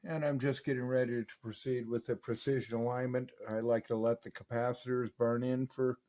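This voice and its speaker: Mellow-voiced man giving a hands-on walkthrough. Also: medium pitch, little pitch movement, brisk. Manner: methodical, step-by-step explaining. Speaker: radio repair technician presenting his restoration work. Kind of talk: hands-on demonstration narration to a customer.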